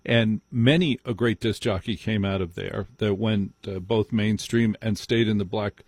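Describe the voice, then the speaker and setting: A man's voice: on the low side, fairly even intonation, moderate pace, darkish tone, no room sound. An American man hosting a live call-in radio show, speaking emphatically and repeating phrases for effect. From New Jersey, United States. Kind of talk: live talk radio conversation.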